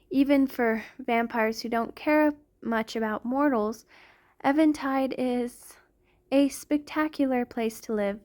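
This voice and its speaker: A woman plays an ancient vampire noblewoman with a fairly high voice. She speaks to her lover in genteel, formal phrases, affectionate and tender, in an intimate ASMR roleplay. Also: darkish tone. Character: tender, aristocratic centuries-old female vampire lover.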